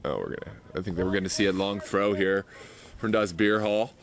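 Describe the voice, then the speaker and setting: A man doing loud live play-by-play for an amateur soccer match from the sideline, his voice lifting on attacking moves. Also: low voice, a little fast. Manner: loud, excitable calls on attacks. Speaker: play-by-play commentator. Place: outdoors at a soccer field sideline. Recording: outdoor field with crowd noise. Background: crowd.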